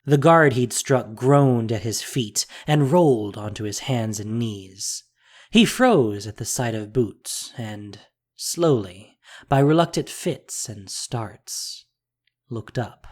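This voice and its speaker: A narrator with a measured, dramatic storytelling manner, reading a scripted audio drama. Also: mid-range voice, lively intonation. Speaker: podcast narrator and producer.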